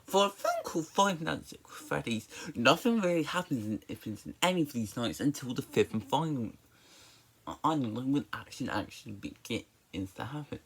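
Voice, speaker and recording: A casual reviewer delivers an opinionated monologue with emphatic exasperation. The speech stumbles often, with words and syllables repeated. The pitch is very animated, rising and falling widely. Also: normal speed, breathy edge, low-quality recording. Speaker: movie reviewer.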